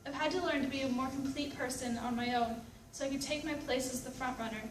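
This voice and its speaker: A woman's voice: high-pitched, steady tone, fast, natural voice. A woman playing a troubled, reflective woman with multiple personalities in a readers theatre monologue. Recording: echoey room, distant mic, background noise.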